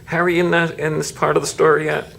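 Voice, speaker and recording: A man's voice: a little high, little pitch movement, quick pace, creaky, a bit bright. A man working as a reading tutor, casually asking a pupil questions in an encouraging way. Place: small meeting room, indoors. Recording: faint background noise, room ambience.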